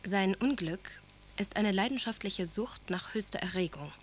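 A woman's voice: mid-range voice, moderate pace, a little hiss or hum, natural voice. An actress playing a wounded, devoted young aristocratic wife, recalling her marriage in a composed, literary way in a radio drama. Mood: earnest, reflective. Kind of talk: German radio drama monologue, memoir-like recollection.

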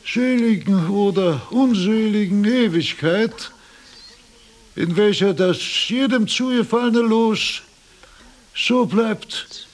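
An actor playing an aged, dying philosopher in a radio play, solemn, halting and declamatory. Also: male voice, high voice.

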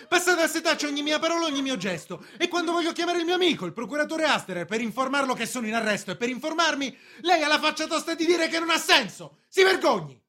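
A man's voice: very high voice, pushed and bright, full character voice. A man playing an anxious, indignant bank official under arrest in a radio play, theatrical, moving between politeness and protest. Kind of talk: radio drama stage acting.

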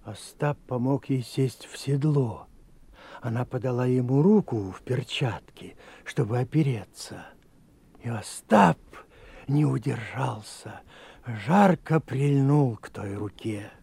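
An actor playing an old village grandfather who tells folk tales in a slow, sing-song way, in a radio play. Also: mid-range voice, animated, vocal fry, warm tone, breathy edge, exaggerated voice. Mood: storytelling. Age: older. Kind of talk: 1980s radio play storytelling.

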